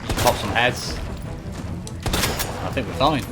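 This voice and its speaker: A man with a mid-pitched voice commentates on a video game playthrough. He is chatty and informal, addressing viewers directly like a streamer. Music plays clearly behind him. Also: slow. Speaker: gaming YouTuber.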